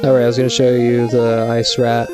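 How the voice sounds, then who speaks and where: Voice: mid-range voice, completely flat delivery, fairly quick, music in the background. A laid-back, slangy live streamer of unclear sex, chatting casually with mock seriousness. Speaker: video game live streamer.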